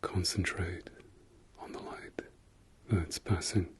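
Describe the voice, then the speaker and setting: A man whispering softly through an ASMR eye-exam roleplay, repeating gentle praise and soothing words. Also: low voice, fairly even intonation, breathy edge. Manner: slow soft whisper, repetitive soothing praise. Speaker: ASMR creator.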